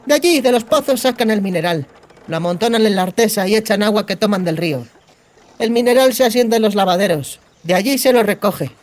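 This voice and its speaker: A cheeky young bandit woman, played by a male audiobook narrator, with a very high voice. She is bossy and sardonic, explaining things briskly in colloquial speech. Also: animated. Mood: matter-of-fact, explaining. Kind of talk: audiobook character dialogue.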